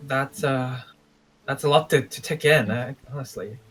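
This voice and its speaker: A man playing an unsure young time-traveling mage in a game roleplay, talking in a halting, rambling way. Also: medium pitch, fairly bright tone, close to an everyday voice. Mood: hesitant, unsure. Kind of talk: improvised video game roleplay.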